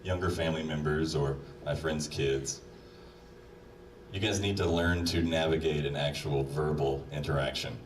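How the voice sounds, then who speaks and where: A man's voice: extremely low pitch, fairly even intonation, moderate pace. A man delivering a humorous, admonishing monologue with mock-stern manner, addressing the listener directly. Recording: roomy, distant playback with crowd noise.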